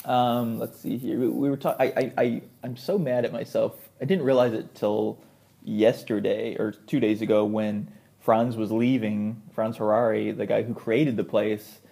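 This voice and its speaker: A man with a fairly low, gravelly voice and a soft, dark tone chats as a co-host. His phrasing is loose and halting, like someone thinking as he goes. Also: little pitch movement. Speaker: magician, podcast co-host. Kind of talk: casual chat.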